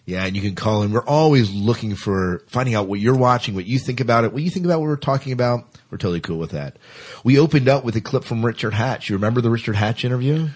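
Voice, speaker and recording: A man's voice: medium pitch, expressive, fast talker, a little mellow, husky. A man hosting a podcast in casual, informal chat, often repeating his words. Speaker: podcast host.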